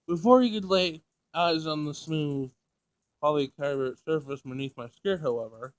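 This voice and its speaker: A man with a slightly high voice and a dry, close sound reads a visual novel aloud for a let's-play. His delivery is halting, with stumbles over words and offhand reactions in a casual tone. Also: clear, resonant tone, no room sound. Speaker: let's-play video creator.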